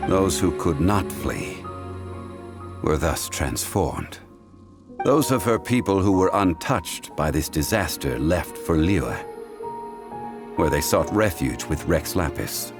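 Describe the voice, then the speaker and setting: A man voicing an ancient, wise god in human form, speaking in a calm, formal, measured way in a game cutscene. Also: sits low, full character voice. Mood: solemn. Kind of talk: video game voice acting. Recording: game cutscene with loud music.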